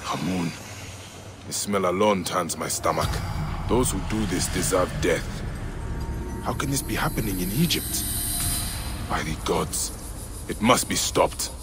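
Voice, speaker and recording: A stern, low-voiced warrior lawman. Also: expressive, unhurried, long pauses, background noise. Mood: appalled, angry. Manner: grave, resolute, plain-spoken. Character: stern, protective ancient Egyptian lawman warrior, grown man. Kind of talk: video game cutscene acting.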